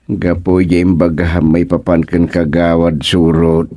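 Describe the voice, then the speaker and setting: Actor as deep, slow, ailing Ilocano village official. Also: male voice, flat delivery, warm tone. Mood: stubborn, grumbling. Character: ailing, indignant, stubborn male village official. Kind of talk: Ilocano radio comedy drama acting.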